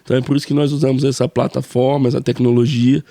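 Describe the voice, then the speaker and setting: A man's voice: medium pitch, little pitch movement, fast talker, creaky, mellow, dry recording. A man, a pastor, co-hosting a casual church podcast and repeating friendly affirmations.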